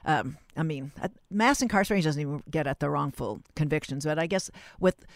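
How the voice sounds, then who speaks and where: A woman hosting a radio interview in a conversational, halting, roundabout way. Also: sits low, dry recording, clean studio sound.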